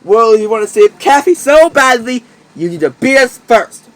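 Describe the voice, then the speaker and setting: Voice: medium pitch, animated, normal speed, a bit bright, slight background noise, close to an everyday voice. A performer voicing a smug kidnapper villain who taunts the heroes in a homemade puppet drama. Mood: gloating. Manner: gloating, taunting.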